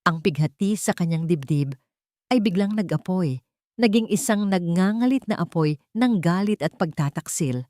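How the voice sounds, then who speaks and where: A woman narrating a scripted audio drama in a measured, dramatic manner, pausing for suspense. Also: fairly low pitch, animated, warm tone, dry recording, clean studio sound. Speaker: story narrator.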